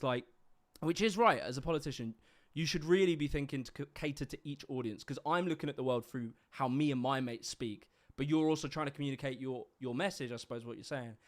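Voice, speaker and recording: A young British host with a mixed multicultural London accent chats in a loose, rambling way, full of fillers and easy laughs. His pitch sits in the middle range for a man. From Britain. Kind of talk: casual livestream interview.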